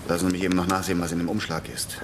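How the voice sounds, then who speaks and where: A laconic American war veteran in a radio crime drama. His voice is very low and his short, dry lines come quickly, with some ambience behind them. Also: little pitch movement, background noise. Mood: wry, curt.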